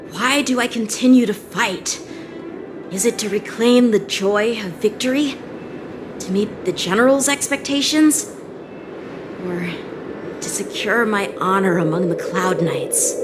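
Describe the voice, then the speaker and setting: A woman's voice: medium pitch, lightly acted. A woman voicing an earnest, proud teenage boy swordsman in a video game cutscene.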